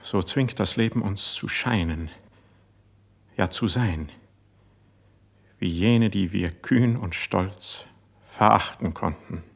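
Impassioned young court poet, wounded and distrustful. Husky, lowish, declamatory radio-play verse. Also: male voice, takes it slowly, pauses often, full character voice. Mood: bitter, suspicious. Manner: declamatory, intense stage delivery. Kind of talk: classical German verse radio drama acting.

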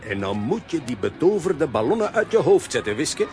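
An actor playing an excitable, easily flustered comic man who stammers and repeats himself in a children's radio play. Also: a little high, moderate pace, soft background music. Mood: reassuring.

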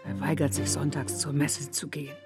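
Low-voiced disapproving mother. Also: level tone, brisk, music in the background. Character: disapproving, suspicious provincial mother. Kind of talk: radio play acting.

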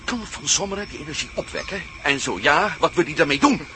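Inquisitive male adventurer for radio drama, played by an actor: eager, fairly high voice, noisy ambience behind. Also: animated, brisk, natural voice. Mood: pondering.

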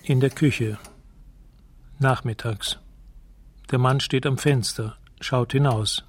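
A man narrating a scripted radio drama in a measured, matter-of-fact way, describing scenes in the present tense. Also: medium pitch, steady tone, a little hiss or hum.

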